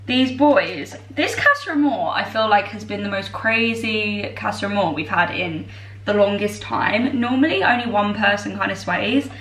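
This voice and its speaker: A young woman in her twenties, tired and a little croaky, chatting in a bubbly way to her camera while she bakes in her home kitchen. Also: mid-range voice, fairly bright tone, slight background noise. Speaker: vlogger. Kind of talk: casual chat. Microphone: far camera. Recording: echoey kitchen from far off.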